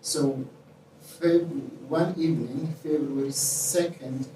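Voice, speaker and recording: A middle-aged man from Somalia with a Somali accent, telling his own story haltingly in an interview. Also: mid-range voice, fairly even intonation. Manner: halting, pieces sentences together. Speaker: interview guest, nurse and non-profit founder. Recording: roomy, distant mic, faint noise.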